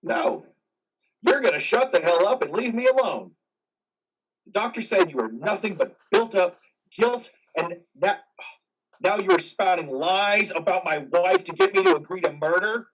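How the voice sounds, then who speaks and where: A troubled, defiant veteran acted by a man speaks earnestly in a stage drama. The voice is raspy and on the high side, moving widely in pitch, a little away from the microphone. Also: lively intonation, a little slow, distant mic, full character voice, poor audio. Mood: defiant, angry. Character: troubled, defiant male war veteran in psychiatric care. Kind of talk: play reading acting.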